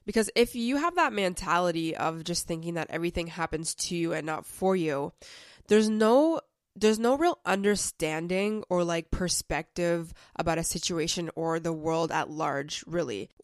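Young woman, podcast host, slightly sick, chatty with filler words, lowish voice, dry close mic. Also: normal speed, dry recording. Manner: chatty and conversational, with frequent "like" fillers. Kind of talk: casual self-help podcast monologue.

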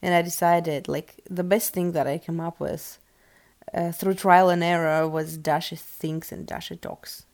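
Woman hosting a solo podcast, low, slightly gravelly voice, dry close mic, rambling and full of fillers. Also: little pitch movement, a little slow, a little mellow, no echo. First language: Russian. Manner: chatty, rambling, many fillers like "like" and "um". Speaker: podcast host. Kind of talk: casual solo podcast talk.

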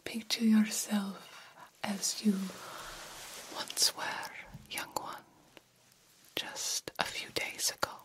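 A woman in an ASMR roleplay voicing a formal, reassuring, slightly vain female vampire doctor in a gentle, breathy whisper. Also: mid-range voice, little pitch movement. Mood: soothing, solemn. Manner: slow, formal, measured, gently encouraging.

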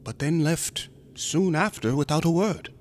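An actor playing a smug, unctuous museum assistant in a horror radio drama, courteous and insinuating, sometimes dropping into whispers. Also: male voice, a little high, unhurried, a little mellow, a little hiss or hum, natural voice. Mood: smug, secretive. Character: sinister, smug, unctuous museum assistant guarding macabre exhibits.

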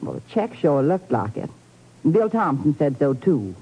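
Feisty southern belle, honeyed drawl but accusing, old muffled radio drama. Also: female voice, sits low, sing-song, unhurried, husky, full character voice. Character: indignant, sassy Southern belle, protective of her sister. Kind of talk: 1950s radio drama acting. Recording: muffled 1950s radio recording.

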